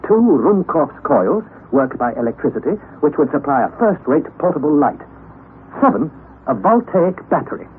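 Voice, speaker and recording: An actor playing an earnest young nephew, narrating an old-time radio drama in a measured, precise, emphatic way. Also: male voice, on the high side. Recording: noisy, tinny vintage radio recording.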